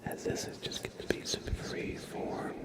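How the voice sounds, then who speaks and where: A man softly chatting to viewers as an ASMR livestreamer, gentle and unhurried, often in a whisper.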